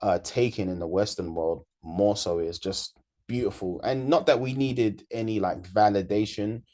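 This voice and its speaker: A man's voice: fairly low pitch, moderate pace, darkish tone. A British-accented man, a music producer, chatting casually as an interview guest on a video call. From United Kingdom. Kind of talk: casual chat.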